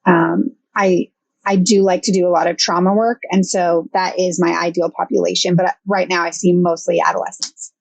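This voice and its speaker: Brief: young adult woman, guest counselor, halting and thoughtful, dry mic. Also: on the low side, level tone, gravelly, no room sound. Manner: thoughtful, hesitant with many fillers. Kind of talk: casual podcast interview.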